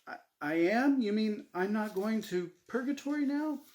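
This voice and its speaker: A man's voice: high-pitched, expressive, moderate pace, darkish tone, voice pushed up. A man voicing a hapless, bewildered ordinary man, a newly dead game show contestant, in a story reading. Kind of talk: character dialogue in a story reading.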